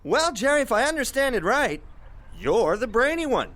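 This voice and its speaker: An actor playing a cocky, sardonic internet radio host in an audio drama, with teasing, theatrical patter. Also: male voice, very high-pitched, very animated, moderate pace, a bit bright, full character voice. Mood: sardonic, probing.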